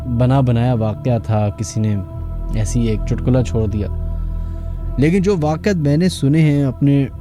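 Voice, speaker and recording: Mellow Pakistani male late-night radio host. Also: mid-range voice, moderate pace, faint music behind.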